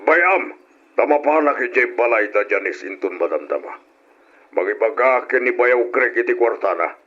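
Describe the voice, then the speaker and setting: A man's voice: medium pitch, expressive, moderate pace, highs cut off, lightly acted. A man acting in an old radio drama as a shameless, argumentative, wheedling husband who feels owed by his relatives. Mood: dismissive.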